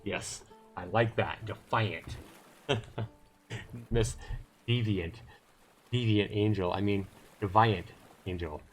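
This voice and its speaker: A man's voice: fairly low pitch, slow, pauses often, slight background noise. A middle-aged man with an American accent, from Texas, giving casual commentary as he reads a game aloud on a livestream. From Texas, USA.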